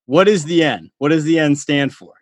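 A man's voice: on the high side, moderate pace, no room sound. A man hosting a sports podcast interview, chatty and casual with lots of fillers and laughter. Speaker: podcast host.

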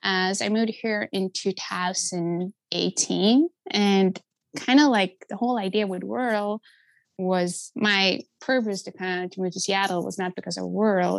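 A woman's voice: medium pitch, creaky, no echo. A woman from Sweden with a Swedish accent, chatting earnestly as an interview guest and filling her speech with "like". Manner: earnest and chatty, many filler likes. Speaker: guest, app founder. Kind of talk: conversational interview.

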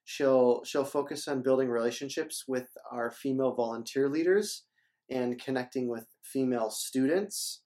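A man's voice: medium pitch, moderate pace, a little mellow. Upbeat young man hosting a church interview. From Minnesota. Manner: upbeat, promotional, repeats words. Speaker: church staff host. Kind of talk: casual church announcement interview.

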